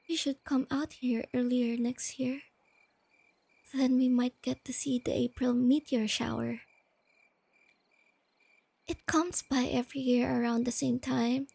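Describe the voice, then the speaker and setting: Woman acting breathy, high-voiced shy nerdy girlfriend. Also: dry recording, heavily acted. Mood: tender, hopeful. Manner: soft-spoken, gentle, breathy, unhurried explaining. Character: shy nerdy young girlfriend, sweet, earnest stargazing enthusiast. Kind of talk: soft-spoken ASMR roleplay.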